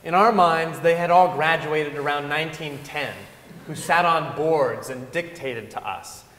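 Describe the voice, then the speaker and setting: A man's voice: fairly high pitch, a little slow, a bit bright, a little hiss or hum. Young guy doing a scripted stage reading, really roomy echo, big hall. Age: young adult. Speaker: dramatic reader. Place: large concert hall stage, seated. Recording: echoey concert hall with long reverb.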